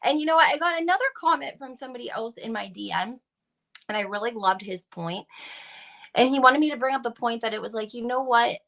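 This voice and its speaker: A woman's voice: fairly high pitch, fairly quick, vocal fry, fairly bright tone. A woman hosting a gossip video, giving casual, opinionated commentary to viewers in an emphatic, informal way. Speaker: reality TV gossip video host.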